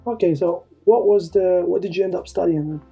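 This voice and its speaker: Relaxed man hosting a chat, husky. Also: high-pitched, brisk, muffled recording, soft background music. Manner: relaxed, encouraging interviewer. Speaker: podcast host. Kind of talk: casual interview.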